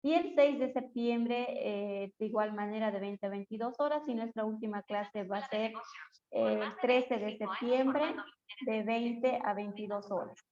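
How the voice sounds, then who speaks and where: A woman's voice: on the high side, steady tone, a little slow, a little mellow, highs cut off. A woman coordinating an online course, reading out schedule dates and a guest's résumé with frequent fillers. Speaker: course coordinator introducing the speaker. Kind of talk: reading a formal introduction.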